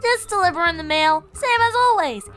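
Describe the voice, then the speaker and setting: Bubbly, scatterbrained cartoon pony mail carrier, extremely squeaky and animated, for a storybook tale. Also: female voice, moderate pace, faint music behind. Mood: cheerful, scatterbrained. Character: klutzy, cheerful, scatterbrained female pegasus mail carrier.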